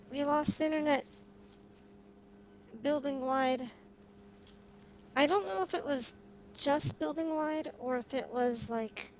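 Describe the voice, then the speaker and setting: A woman chats casually to viewers while streaming a game. Her voice sits on the high side and she takes her time, hesitating as she goes. A faint noisy hum runs behind her. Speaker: livestreamer, vtuber. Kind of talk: casual livestream chat while gaming. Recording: clearly noisy, humming background.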